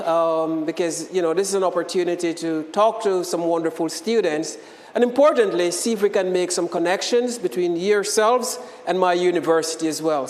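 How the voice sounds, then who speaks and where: A middle-aged man with a Jamaican accent giving a friendly guest lecture to students on a lapel mic, pausing often on ums and inviting questions. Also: high voice. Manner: friendly, filler-heavy, keeps inviting questions. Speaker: guest lecturer, university program director. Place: lecture room. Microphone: lapel microphone. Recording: echoey lecture hall with long reverb.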